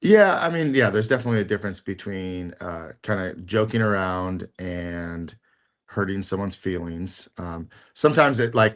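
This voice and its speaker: A middle-aged man co-hosting a podcast in casual chat, talking in halting bits with lots of fillers and restarts. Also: low voice. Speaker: podcast co-host.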